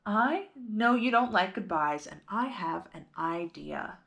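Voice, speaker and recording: A hopeful little schoolgirl from a picture book, put on by a woman reader. She speaks in a sing-song, gently reassuring way. The pitch leaps and lilts, and the pace is unhurried. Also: lively intonation, gravelly, full character voice. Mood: gentle reassurance. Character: hopeful young schoolgirl devoted to her dog.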